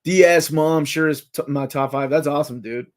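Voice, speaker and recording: A man in his forties speaks in a slightly high voice. He hosts a live chat in a loose, casual way. He jumps from one comment to the next with a fan's excitement. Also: normal speed. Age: 40s. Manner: excitable fan, jumps between comments and guesses.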